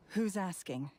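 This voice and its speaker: A woman's voice: on the low side, a little mellow. An actress voicing a wary, grieving young woman in a video game, terse and guarded. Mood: suspicious.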